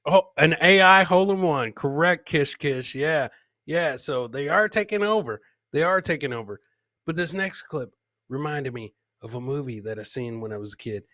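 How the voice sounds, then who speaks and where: A man's voice: on the high side, moderate pace, bright, forceful tone, no room sound, clean studio sound. A man hosting a live comedy podcast, chatting informally and rambling as he introduces clips and talks to viewers. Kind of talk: casual live-stream chat, riffing on clips and reading viewer comments.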